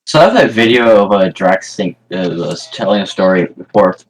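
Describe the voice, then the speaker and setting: A man's voice: low-pitched, lively intonation, brisk. A man chatting casually with friends in an online group voice chat.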